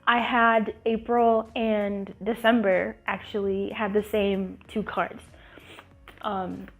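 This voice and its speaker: A woman's voice: medium pitch, level tone, slow pace, phone-quality sound. A woman hosting her channel, with a sniffly, congested voice, chatting casually through a tutorial and rambling with fillers and restarts. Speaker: channel host.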